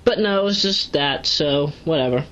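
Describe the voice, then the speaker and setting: A dull, lo-fi home recording of a woman with a lowish voice. She talks through a review casually and without a script. She wanders off into digressions and stresses her side points as she goes. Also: normal speed, a bit bright. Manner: rambling, digressive, emphatic asides. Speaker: amateur web series reviewer. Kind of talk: casual unscripted review commentary.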